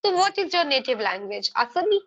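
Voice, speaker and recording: Woman, Hindi native speaker, high bright voice, earnest and halting, dull lo-fi call sound. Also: sing-song. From India. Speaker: English practice partner. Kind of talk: casual practice conversation.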